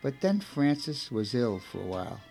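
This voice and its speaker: A man's voice: medium pitch, sing-song, moderate pace, mellow, soft background music. A man with a Brooklyn accent hosting a religious radio show, telling a saint's story in a chatty way that often repeats itself. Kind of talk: casual radio chat and storytelling.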